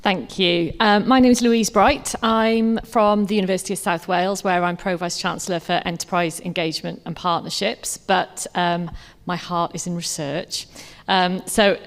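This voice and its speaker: A woman with a fairly low voice speaks on a conference panel. She is reflective and pauses with fillers. Her voice echoes through a large hall. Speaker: university pro-vice-chancellor. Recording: reverberant hall.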